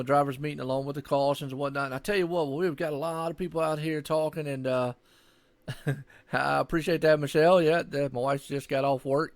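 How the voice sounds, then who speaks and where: A man's voice: mid-range voice, creaky, dry recording. A man co-commentating a sim race in a casual, folksy, rambling way. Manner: folksy, rambling, says "man" and "y'all" often. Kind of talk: casual, chatty live sports commentary.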